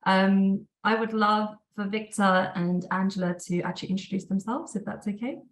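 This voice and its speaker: A woman hosting and moderating a panel Q&A, speaking politely and warmly. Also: mid-range voice, normal speed, echoey room. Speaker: event host and moderator.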